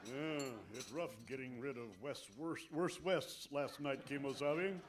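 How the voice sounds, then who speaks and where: An actor playing a loyal, reluctant cowboy sidekick who speaks halting broken English, deadpan, in a comic radio western. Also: male voice, medium pitch, close to an everyday voice. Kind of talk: comic old-time radio western acting. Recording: faintly noisy live stage recording.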